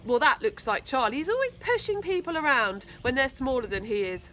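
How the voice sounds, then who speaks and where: A woman's voice: sits high, sing-song, fairly quick, a bit bright, soft background music. A woman reading a children's book aloud, narrating expressively like a school storyteller.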